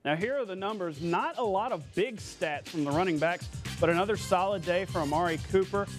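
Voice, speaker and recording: A man working as a TV sports anchor, narrating scripted highlights in an energetic, playful way. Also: a little fast. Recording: music bed behind the voice.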